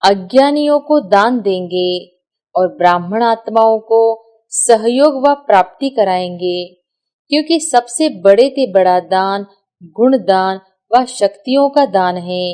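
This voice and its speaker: A woman reading a scripted devotional discourse in measured, deliberate phrases with short pauses. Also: mid-range voice, animated, dark tone, clean tone. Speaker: reader of a spiritual discourse.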